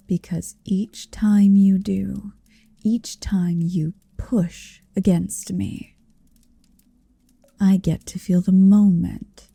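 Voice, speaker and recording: A woman voicing a possessive, seductive motherly dragon in an intimate ASMR roleplay, breathy and whispery, speaking slowly and deliberately close to the microphone. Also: lowish voice, mellow, no echo, exaggerated voice. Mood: possessive, teasing. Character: possessive, seductive motherly female dragon hoarding her captive. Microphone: close microphone, dry.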